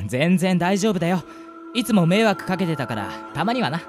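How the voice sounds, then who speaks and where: Guy doing a bright eager office guy, friendly, lively voice, music playing behind. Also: sits high, normal speed. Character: cheerful capable male office worker impostor.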